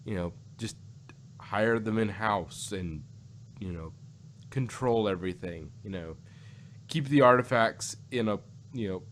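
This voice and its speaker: A man with a fairly low voice talks in a casual, discussion style. He rambles and repeats phrases as he works through his thoughts. The recording carries some background noise. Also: a little slow, a bit bright. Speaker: podcast co-host reviewing audio dramas.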